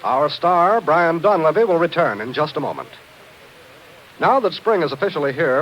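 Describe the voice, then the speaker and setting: Male American announcer, animated and polished vintage delivery, dull old radio sound with faint music. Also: fairly high pitch, a little hiss or hum. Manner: polished, formal. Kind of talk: 1950s radio announcing, scripted.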